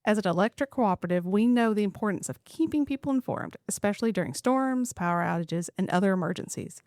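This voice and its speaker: A woman's voice: mid-range voice, animated, fast, a little mellow, no echo. A woman hosting an interview show, reading a scripted intro and reacting to her guest. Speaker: host.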